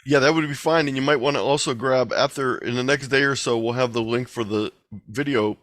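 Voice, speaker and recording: A man's voice: mid-range voice, fairly even intonation, brisk, fairly bright tone, dry recording, studio quality. A man speaking informally as the presenter and moderator of an online meeting.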